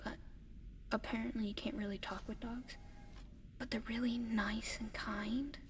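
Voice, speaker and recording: Woman as a whispering, gentle alien in long reverb. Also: fairly high pitch, flat delivery, natural voice. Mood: excited, gentle. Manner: soft, hesitant, breathy whispering with halting pauses. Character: gentle, eager giant female alien adopting a human pet. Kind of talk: whispered ASMR roleplay to the listener. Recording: long reverb, audible background noise.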